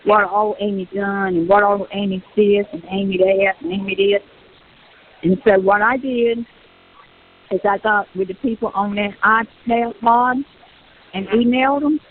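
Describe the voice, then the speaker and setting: A woman's voice: medium pitch, fairly even intonation, unhurried, faint music behind. An older woman with a Southern US accent, venting on a personal phone call and insistently repeating phrases for emphasis. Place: recorded prison phone call. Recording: tinny phone line with hiss.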